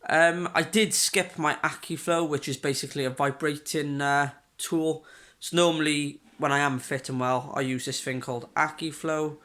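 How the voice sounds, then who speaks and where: A man's voice: a little high, fairly even intonation, normal speed, pushed and bright. A young man, chatting in a rambling home video diary, congested and coughing with a sore throat. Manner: rambling, chatty, frequent fillers and restarts. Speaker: vlogger.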